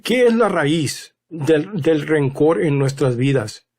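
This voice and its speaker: Slow, halting man preaching Bible talk. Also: on the high side, animated, darkish tone. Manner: slow, searching, many fillers and restarts; asks rhetorical questions to the listeners. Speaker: podcast host and Bible teacher. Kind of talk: casual sermon-style Bible teaching.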